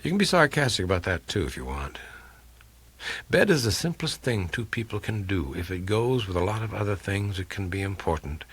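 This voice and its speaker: A man reading a crime audiobook as a world-weary, blunt male investigator who tells his case in a terse, dry, deliberate way. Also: low-pitched, big pitch swings, fairly bright tone, slightly rough, full character voice. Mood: earnest. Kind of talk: dramatic first-person audiobook reading of hardboiled crime fiction.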